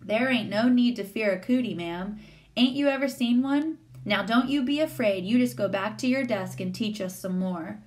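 A woman's voice: fairly high pitch, normal speed, darkish tone, lightly acted. A woman voicing a small, eager and courteous schoolboy with a folksy rural Southern US accent in a story. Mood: gently reassuring. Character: small eager schoolboy, polite, gallant and protective.

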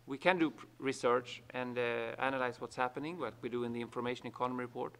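A man's voice: medium pitch, fairly even intonation, fairly bright tone. A man speaking on a conference panel for an international organization, hesitating often between phrases. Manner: halting, with many fillers. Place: large conference room.

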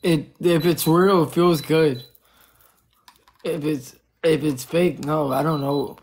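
Young guy on his phone live, high voice, brags about his chains, hypes gifters. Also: steady tone, slow, slight background noise. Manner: boastful, teasing, shouting out gifters. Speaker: livestream host. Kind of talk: casual livestream banter. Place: indoor room. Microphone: phone at arm's length.

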